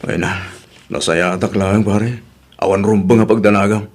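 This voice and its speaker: A man's voice: lowish voice, steady tone, normal speed, a little mellow. Weary, wronged husband played by a man, Ilocano accent. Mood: downcast. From Philippines. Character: wronged husband and father, weary, hurt and resolute. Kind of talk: Ilocano audio drama acting.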